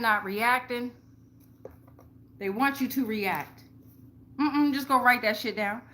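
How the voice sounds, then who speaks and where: Female tarot host, higher-pitched forceful voice, blunt slangy delivery, emphatic repetition, salty language. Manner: blunt, heavy swearing, slangy, repeats phrases for emphasis. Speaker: tarot reader, show host. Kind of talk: casual spiritual tarot reading to followers.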